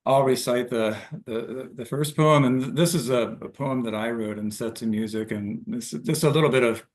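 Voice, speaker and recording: A man, a poet and professor, explaining informally before a recital with halting, self-correcting phrasing. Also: mid-range voice.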